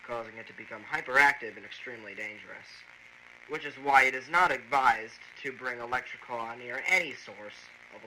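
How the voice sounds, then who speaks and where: Man playing sharp, bright-voiced lab doctor, faint noise. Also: medium pitch, poor audio. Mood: matter-of-fact. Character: chatty male lab doctor, presenting creature files. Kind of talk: scripted instructional narration for amateur analog horror.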